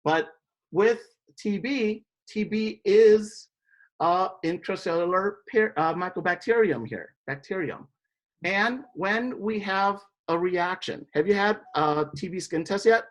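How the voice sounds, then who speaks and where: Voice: medium pitch, animated, a little slow. Lecturer from indonesia, explaining stuff step by step, keeps tossing in "right?" to the class. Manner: explanatory, often checks in with a rhetorical "right?". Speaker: biology instructor.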